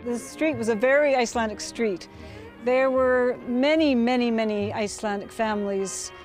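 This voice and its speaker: A woman explaining local history in a measured, informative way, with pauses, outdoors on a street. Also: on the high side, a little mellow, soft background music. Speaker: interviewee. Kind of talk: casual tour-guide explanation.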